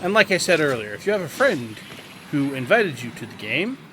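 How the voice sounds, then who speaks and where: A man's voice: on the high side, sing-song, brisk, background noise. Man giving a casual game tutorial. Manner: explanatory, informal. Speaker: video game guide host. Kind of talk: casual tutorial commentary over gameplay. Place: indoors, gameplay voice-over recording.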